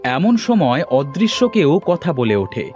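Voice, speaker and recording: High-pitched male fairy tale narrator. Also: big pitch swings, slow, a little mellow, soft background music.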